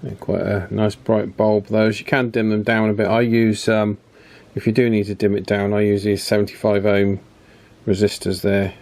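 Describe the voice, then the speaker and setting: A man giving a casual, hands-on repair demonstration, chatty and unhurried, thinking aloud as he works. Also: fairly low pitch, flat delivery, darkish tone. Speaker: radio repair video presenter. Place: indoor electronics repair bench.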